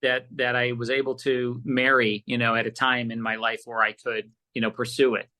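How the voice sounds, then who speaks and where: A man's voice: mid-range voice, flat delivery, normal speed, sharp tone. A middle-aged American man answering casually as an interview guest, earnest and emphatic, often repeating his phrases. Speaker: podcast guest, radio host and producer.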